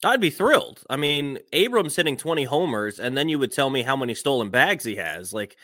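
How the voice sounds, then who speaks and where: A man's voice: medium pitch, big pitch swings, brisk, sharp tone, dry recording, clean studio sound. A man co-hosting a sports podcast, giving conversational baseball analysis.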